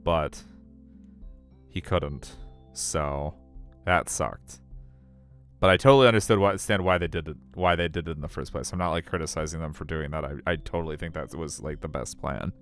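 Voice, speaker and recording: A male streamer with a deep, resonant voice. He reads story text and comments on the game in a casual, rambling way, with quiet music underneath. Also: normal speed, smooth. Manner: rambling, informal. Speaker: streamer reading a visual novel.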